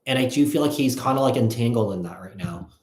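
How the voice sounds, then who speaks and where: A man giving a casual live tarot reading, thinking aloud in a halting, repetitive way. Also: medium pitch, lively intonation, darkish tone. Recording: echoey, roomy sound.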